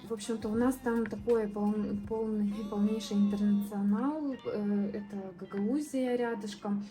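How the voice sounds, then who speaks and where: A young woman from southern Moldova talks through her painting process in a loose, rambling way, full of fillers and restarts. Her voice is soft and mellow, and faint music plays underneath. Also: mid-range voice. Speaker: art vlogger. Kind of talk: casual painting vlog voice-over.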